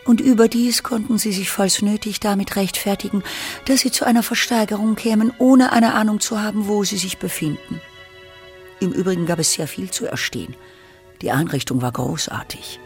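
Measured radio drama narrator over music. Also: mid-range voice.

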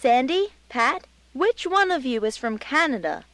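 Polite, curious female caller, acted by a woman, high-pitched, animated intonation, radio lesson dialogue. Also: a little slow, no room sound, heavily acted.

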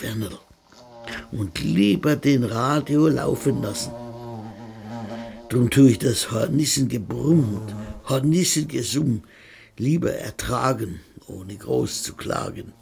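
A man's voice: mid-range voice, vocal fry, breathy edge, heavily acted. An actor playing a paranoid, rambling rustic man with a Bavarian accent, muttering a dialect monologue in a radio play. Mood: conspiratorial.

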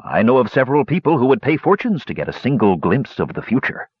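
A man's voice: medium pitch, very animated, fast talker, no room sound, highs cut off, voice pushed up. A man doing a scheming greedy shopkeeper. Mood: calculating. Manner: calculating, smooth-talking. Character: scheming middle-aged male human shopkeeper, greedy.

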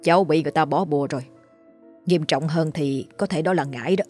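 A woman voicing a grave older uncle versed in exorcism, who speaks in a low, hushed and brisk, solemn way. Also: soft background music.

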